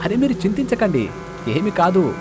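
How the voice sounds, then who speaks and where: A man's voice: sits high, very animated, moderate pace, background noise, pitched above the performer's own voice. A man voicing a confident, urgent young male traveller in a cartoon story, in a casual, questioning manner. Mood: dismissive.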